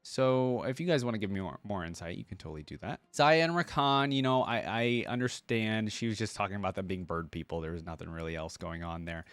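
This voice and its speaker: A man's voice: on the low side, brisk, few pauses, fairly bright tone, clean tone, dry recording. A man giving casual reaction commentary as host, talking about a game character's voice lines.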